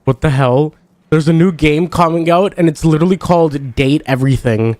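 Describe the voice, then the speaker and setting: A man's voice: fairly high pitch, a little fast, darkish tone, dry recording. A young man streaming a card game, chatting casually in a rambling way full of fillers, and stuffed up with congestion. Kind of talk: casual chat while playing a card game.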